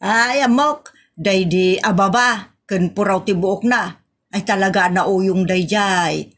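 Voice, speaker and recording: A woman's voice: mid-range voice, takes it slowly, a bit bright. A woman with an Ilocano accent acting a sassy, easily scandalised village woman in a comedy audio drama. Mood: teasing.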